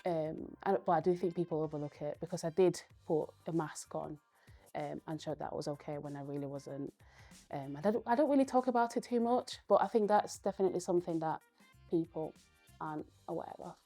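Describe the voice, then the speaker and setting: A young woman, a Spanish speaker from Spain, giving earnest, thoughtful interview answers and pausing often to gather her words. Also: deep voice, dark tone, soft background music. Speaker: guest, powerlifter.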